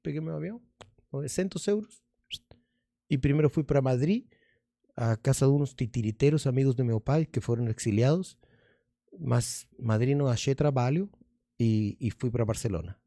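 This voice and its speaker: Gravelly man with a Spanish accent. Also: mid-range voice, normal speed, long pauses, mellow, dry recording, clean studio sound. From Argentina. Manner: rambling, restarts, drifts into Spanish words. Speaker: guest, magician and comedian. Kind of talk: casual storytelling in an interview.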